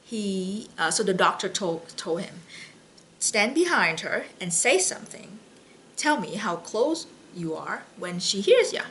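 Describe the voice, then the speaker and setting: Slow, bright-voiced woman telling jokes casually. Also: medium pitch, sing-song, a little hiss or hum, rough recording. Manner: halting, chatty, with false starts and slips. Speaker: library story and joke reader. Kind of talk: casual read-aloud of jokes with personal chat.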